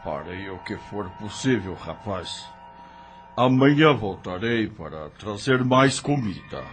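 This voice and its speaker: A man voicing a grave, kindly older helper who brings news and food in an audio drama, speaking slowly. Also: expressive. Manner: slow, grave, low-spoken. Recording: faint music underneath.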